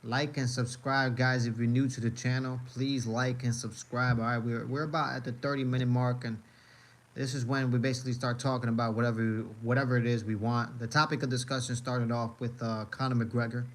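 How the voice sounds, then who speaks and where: A man's voice: mid-range voice, little pitch movement, brisk, rough recording. A man from the United States chatting casually on a live stream as he reacts to videos, rambling and repeating his phrases with many fillers.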